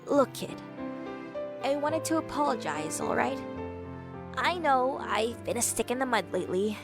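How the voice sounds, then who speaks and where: A woman's voice: on the high side, expressive, slow, vocal fry, pauses often, loud music behind the voice, heavily acted. A woman voicing a worried, protective tomboy pegasus mother, casual and earnest, in a dramatized story reading. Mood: apologetic. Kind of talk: dramatized fan fiction reading.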